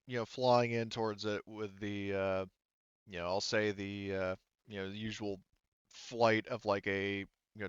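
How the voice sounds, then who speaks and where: A man with a low, clean voice runs a tabletop roleplaying game. He improvises the narration casually and haltingly, thinking aloud as he goes. The sound is close and dry, a little dull. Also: smooth, no echo. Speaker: game master.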